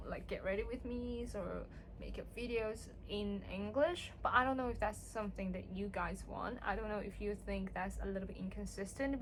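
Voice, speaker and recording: A woman's voice: mid-range voice, a bit bright, rough recording. A young woman whose first language is Cantonese chats through a skincare routine at home, rambling and poking fun at herself. Her voice sits in a middle range. A faint hum runs underneath. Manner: chatty, self-deprecating, rambling asides. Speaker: beauty vlogger. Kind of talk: casual get-ready-with-me chat. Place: home room.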